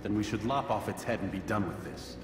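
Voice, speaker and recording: An actor playing a solemn, earnest young assassin who speaks in a measured, formal way in a video game cutscene. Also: male voice, on the low side, echoey room, long reverb tail, lightly acted. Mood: earnest, resolute.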